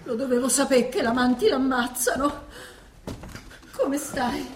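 A woman's voice: high voice, takes it slowly. A woman playing an anguished, tender, guilt-stricken mother in a radio drama, speaking. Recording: echoey stage recording with hiss.

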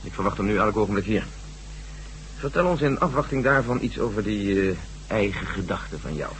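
An actor playing a blunt, wary army general in a Dutch science-fiction radio drama. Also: male voice, fairly low pitch, lively intonation, a little fast, close to an everyday voice. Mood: wry, familiar. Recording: noisy, humming old radio recording.

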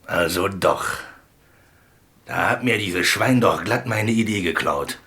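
A rough, resentful convict with a low voice, from a German radio crime play. He talks gruffly in slang and gives things up grudgingly. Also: slow pace, pushed and bright, heavily acted. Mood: bitter, resentful. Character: resentful, rough male jailed train robber.

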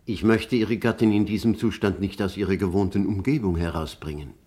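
A family physician in a radio crime drama. His low, slightly rough voice is formal, soothing and measured, with old-fashioned courtesy. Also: fairly even intonation, dark tone. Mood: reassuring, courteous. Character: reassuring, formal male family doctor. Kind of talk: German radio crime play acting.

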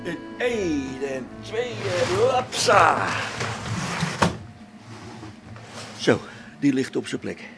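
Gravelly, grumbling lone space pilot. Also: male voice, a little high, big pitch swings, takes it slowly, loud music behind the voice, exaggerated voice. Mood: muttering, amused. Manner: muttering to himself, grumbling, chuckling. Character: weary grumbling old-school test pilot, father, alone in spaceship. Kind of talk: radio drama monologue.

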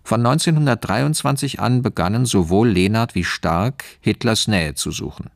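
Male narrator for radio documentary drama: deep, dry close sound, measured scripted delivery. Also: creaky, no room sound. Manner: measured, factual.

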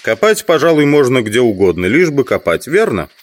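A man's voice: medium pitch, big pitch swings, fairly quick, close to an everyday voice. An actor playing a proud, doubtful visiting man in an audio drama, questioning and slightly condescending. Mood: curious.

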